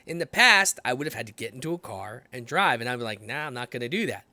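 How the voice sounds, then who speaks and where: Bright-voiced man in his late thirties. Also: medium pitch, sing-song, fast, no echo. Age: late 30s. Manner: loose, swears freely, stumbles and restarts. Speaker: podcast host. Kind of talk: casual conversation.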